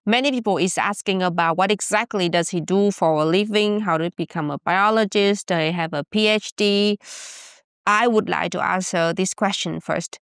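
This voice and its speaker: A woman's voice: mid-range voice, moderate pace, clean tone, no echo, studio quality. Playful Vietnamese woman host. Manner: playful, teasing, lively. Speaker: podcast host. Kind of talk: casual chat.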